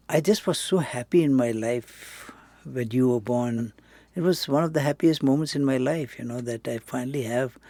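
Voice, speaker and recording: A man's voice: medium pitch, darkish tone, no echo. A man in his seventies in an interview booth, speaking to his son in a reflective, earnest way. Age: 70s. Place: recording booth.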